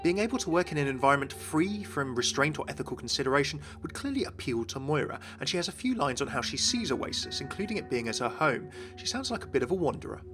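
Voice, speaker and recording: A man's voice: fairly high pitch, sing-song, fast talker, hardly any pauses. A man presenting game lore as an enthusiastic scripted video narration. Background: music.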